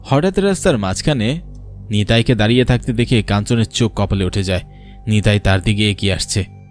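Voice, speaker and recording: A man's voice: lowish voice, fairly quick, soft background music. A man narrating a scripted horror cartoon story in a dramatic storytelling style.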